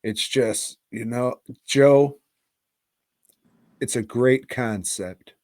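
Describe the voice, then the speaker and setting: A man's voice: medium pitch, extremely slow, a little mellow, no room sound, clean studio sound. A man hosting a casual movie review podcast, talking in a crude, slangy, rambling way with plenty of swearing.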